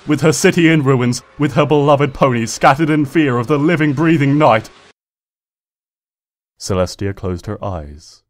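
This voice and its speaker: A man's voice: medium pitch, expressive, a little slow. A man reading fiction aloud as a narrator, in a dramatic scripted storytelling style. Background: ambient sound effects.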